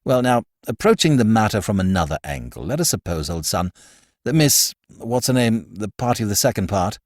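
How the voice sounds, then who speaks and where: A man's voice: on the low side, big pitch swings, fairly quick, darkish tone, no room sound, studio quality. A man reading an audiobook and voicing a chatty, jaunty young upper-class man who teases his friend with airy slang. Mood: bantering. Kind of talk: audiobook character voice.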